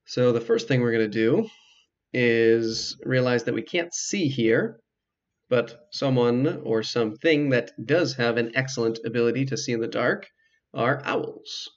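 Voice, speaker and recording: A man giving casual, measured commentary as he hosts a video game walkthrough, explaining things hesitantly with dry asides. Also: mid-range voice, dull audio. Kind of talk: casual let's play commentary.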